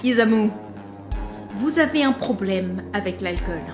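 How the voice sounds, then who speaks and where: A woman's voice: medium pitch, animated, measured pace, over music, close to an everyday voice. An actress playing a stern, disapproving boss in an audio drama, formal and reproachful in her wording. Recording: roomy echo on the voice.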